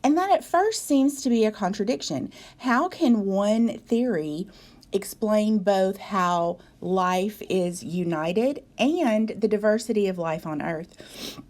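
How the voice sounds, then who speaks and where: A woman from the South, a biology instructor giving a recorded video lecture in a voice hoarse from a cold, measured and explanatory with frequent ums. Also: mid-range voice, lively intonation, darkish tone. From American South.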